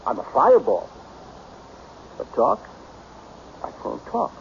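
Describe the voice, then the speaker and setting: Brooding, expressive millionaire in radio drama. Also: male voice, medium pitch, takes it slowly, gravelly, heavily acted. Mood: bitter, dejected. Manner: blunt, emphatic, brooding. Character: lonely self-made millionaire businessman, melancholy, brusque, self-pitying. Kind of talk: old-time radio drama acting. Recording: dull, noisy old radio broadcast.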